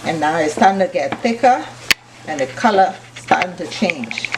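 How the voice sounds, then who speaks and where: A woman from Liberia with a Liberian accent, calmly explaining each step of a recipe while cooking in her home kitchen. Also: lowish voice, moderate pace. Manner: calm, step-by-step instructions while stirring. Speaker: cooking channel host. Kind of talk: casual cooking demonstration. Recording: distant mic with frying noise. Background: sizzling pot and stirring.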